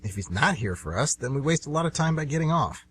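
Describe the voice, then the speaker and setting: A man's voice: a little high, very animated, fast, slight background noise. A man playing a hopeful, earnest train passenger and devoted father in an amateur radio drama, somewhat hesitant in speech. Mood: earnest, determined.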